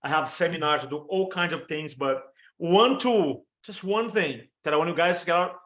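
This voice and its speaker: A fairly high-voiced man in his fifties with a Brazilian Portuguese accent, coaching an audience on a webinar. He talks with energy and rattles off lists of examples. The sound comes through a video call. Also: normal speed, fairly bright tone. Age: 50s. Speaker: motivational speaker and storytelling coach. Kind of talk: training talk on a webinar.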